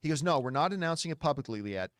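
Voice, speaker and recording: Animated, higher-voiced man telling insider news. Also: racing, no echo, clean studio sound. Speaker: entertainment news commentator. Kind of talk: animated storytelling talk to viewers.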